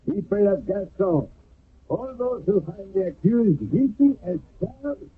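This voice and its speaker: A stern village elder judging prisoners, formal, solemn, husky, tinny old broadcast. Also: male voice, high-pitched, expressive, measured pace, no echo, full character voice. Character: stern male village leader presiding as judge.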